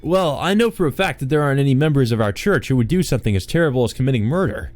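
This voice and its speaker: A man's voice: medium pitch, expressive, rapid-fire, a little mellow, no room sound, faint music behind, pitched above the performer's own voice, close to an everyday voice. A man voicing an anxious, guarded male artist who is hesitant, evasive and formally polite, in a visual novel.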